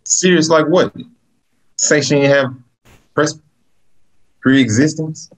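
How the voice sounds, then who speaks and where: A man's voice: fairly high pitch, fairly even intonation, slow, poor audio. Young man acting a worried, frustrated man. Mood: concerned. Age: young adult. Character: worried, frustrated man pressing hospital staff for help. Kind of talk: script table read. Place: small plain room indoors, on a video call.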